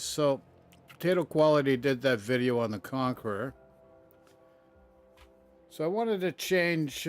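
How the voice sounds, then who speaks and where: Chatty male streamer over faint music. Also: medium pitch. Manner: chatty, grumbling asides. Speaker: live streamer. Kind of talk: casual live-stream chat.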